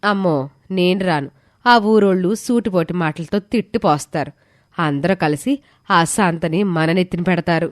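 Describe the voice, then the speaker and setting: A woman voicing a suspicious, sharp-tongued married woman in an animated moral story. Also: fairly low pitch, normal speed, no room sound, clean studio sound, lightly acted. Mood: suspicious, grudging.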